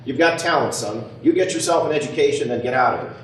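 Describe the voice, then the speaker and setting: A man acting a gentle, weary, idealistic small-town father in an amateur radio play, with a measured, earnest manner. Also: mid-range voice, close to an everyday voice. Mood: earnest, resigned. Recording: roomy, distant mic, background noise.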